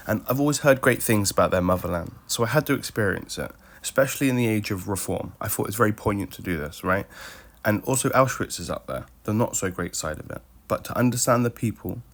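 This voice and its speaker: A man's voice: lowish voice, a bit bright. A young British man narrating a travel vlog with dry, self-mocking humor. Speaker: travel vlogger.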